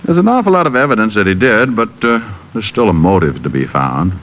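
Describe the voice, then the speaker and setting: Wry, low-voiced radio private eye. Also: male voice, big pitch swings, brisk. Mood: relaxed. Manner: wry, wisecracking. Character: wry, easygoing male private detective. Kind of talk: 1950s radio detective acting and narration. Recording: dull old radio recording, music behind.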